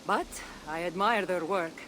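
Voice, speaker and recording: A woman playing an ancient, wandering Greek warrior in a video game, wry, composed and self-assured, with a Greek accent and measured delivery. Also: on the low side, fairly bright tone. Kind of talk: video game cutscene acting. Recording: game scene with rain and ambient noise.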